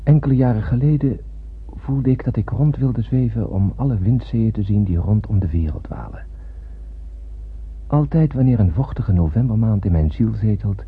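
A man's voice: on the low side, slightly rough, full character voice. An actor playing a dreamy, solemn space wanderer who narrates his tale in a poetic, declamatory radio play manner. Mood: lyrical, yearning. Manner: poetic, declamatory, dramatic, with hushed phrase endings. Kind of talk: scripted radio play monologue. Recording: tinny narrow-band radio recording with music.

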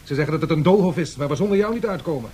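An agitated, overwhelmed company man, played by a man in a radio play. He talks fast and bluntly in a high-pitched, exasperated voice. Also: animated, darkish tone, natural voice. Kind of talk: 1970s radio drama acting.

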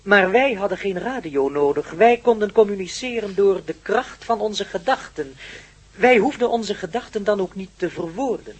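An ancient alien man of a blue race for radio drama. He speaks formally and with measured superiority, in a husky voice. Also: sits high, expressive. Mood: calm, explaining. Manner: formal, measured, disdainful of primitive technology. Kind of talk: radio play acting.

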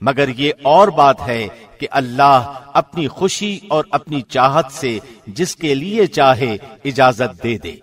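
A man reads a scripture translation in the measured, formal delivery of a radio presenter. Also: mid-range voice, animated. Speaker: translation reader, radio host.

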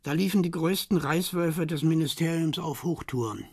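An archive official in a radio crime play. His voice is fairly high for a man and quite husky, recorded dry. He explains things in a matter-of-fact way. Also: moderate pace, a little mellow, dry recording. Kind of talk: radio drama dialogue.

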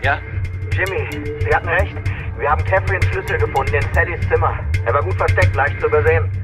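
A policeman played by a man in a radio play, reporting briskly and factually. His voice is on the higher side, with loud music underneath. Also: close to an everyday voice. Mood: urgent, factual. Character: businesslike male policeman reporting in. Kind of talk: radio drama acting.

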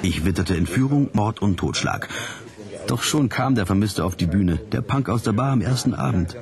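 An actor playing a suspicious, gore-loving detective narrator in a radio play, terse and wry in scripted hard-boiled style. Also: male voice, lowish voice, expressive, moderate pace, vocal fry, a crowd in the background. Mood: dry, wry. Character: sleuthing male narrator, suspicious, fond of gore.

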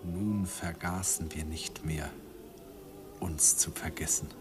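Low slow wistful narrator, whispery and soft, old radio hiss. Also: male voice, steady tone, over music. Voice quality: hushed and reflective. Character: earnest young German man recalling first love. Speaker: narrator and young German student Andi. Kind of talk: 1950s German radio drama narration. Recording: faint hiss of an old 1951 radio recording.

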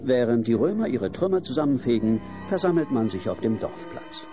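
A man's voice: mid-range voice, very animated, a little fast, music in the background. Wry male radio play narrator. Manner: wry, storytelling. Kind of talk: scripted storybook narration.

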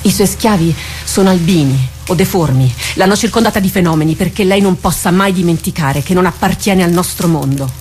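A proud woman warrior captain acted by a woman speaks in a lowish voice, formal and deliberate. She is sardonic and resolute, in theatrical radio drama style, with music playing underneath. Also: darkish tone, close to an everyday voice. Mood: brooding.

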